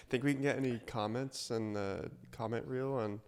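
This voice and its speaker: A man's voice: mid-range voice, fairly even intonation, normal speed, slight background noise. A man co-hosting a podcast in casual chat, making dry teasing asides. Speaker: podcast co-host.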